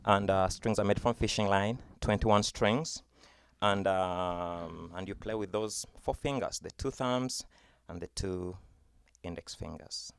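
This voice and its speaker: A man's voice: deep voice, unhurried, vocal fry, clear, resonant tone, dry recording, clean studio sound. A Ugandan man whose first language is Luganda gives a virtual school presentation as a music teaching artist. He explains patiently and says "uh" and "you know" often, speaking into a computer webcam mic.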